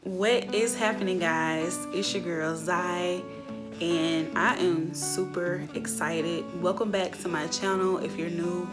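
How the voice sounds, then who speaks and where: A woman's voice: on the low side, steady tone, slow. Woman vlogger over prominent background music. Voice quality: slightly raspy. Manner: addresses viewers directly, step-by-step explaining. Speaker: YouTuber giving channel growth tips. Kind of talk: casual instructional vlog talk to viewers.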